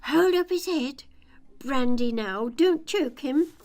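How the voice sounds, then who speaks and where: Woman doing bustling bossy animal workers, high-pitched. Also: animated, slow pace, exaggerated voice. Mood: urgent, bustling. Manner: shouted, colloquial orders.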